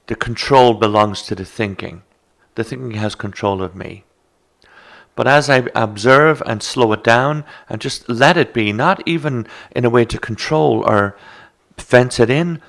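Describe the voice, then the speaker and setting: An Irish man with a low, gravelly voice talks through mindfulness in a calm, instructive way. He sounds like a meditation teacher guiding a relaxed conversation. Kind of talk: reflective conversation.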